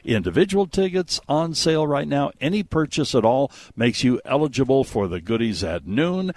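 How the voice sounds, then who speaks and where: A man's voice: mid-range voice, big pitch swings, moderate pace, darkish tone, dry recording. A man hosting a live radio talk show, chatting and promoting in an effusive, easily amazed way on a studio mic. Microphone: close studio radio microphone.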